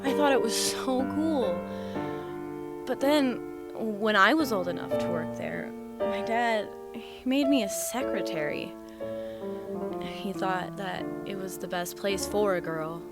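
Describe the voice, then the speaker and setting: A woman playing a gentle, earnest, nerdy young larper in an audio drama. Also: medium pitch, expressive, slow pace. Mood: confiding. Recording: music under the voice.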